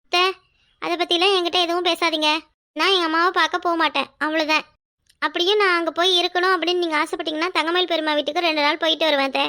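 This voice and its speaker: Very high, plaintive young wife played by a woman. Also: steady tone, fast, sharp tone, exaggerated voice. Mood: stubborn. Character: emotional, stubborn young wife, pleading and pouting. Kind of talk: domestic serial drama acting.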